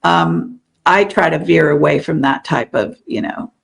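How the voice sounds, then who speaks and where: An older woman talks in a loose, meandering way, full of fillers and restarts. Her voice is heavily gravelly with a lot of fry. She sounds like a guest in a relaxed conversation. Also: low-pitched, a little slow. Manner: rambling, meandering, many fillers. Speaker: interview guest, show host. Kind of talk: casual interview chat.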